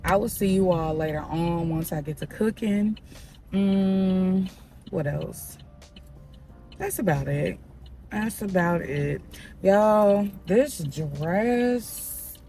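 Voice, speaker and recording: A woman's voice: fairly low pitch, darkish tone. A young woman with a slightly nasal voice, rambling casually to her vlog viewers and calling them y'all. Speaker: vlogger. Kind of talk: casual vlog chat to camera.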